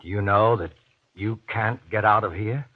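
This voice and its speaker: Husky, low-voiced irritable husband played by a man. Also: steady tone, moderate pace, natural voice. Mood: quietly menacing. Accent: American. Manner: gruff, impatient, scolding. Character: irritable, domineering husband with a menacing streak. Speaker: radio drama actor. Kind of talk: golden age radio horror acting. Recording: dull, muffled old radio recording.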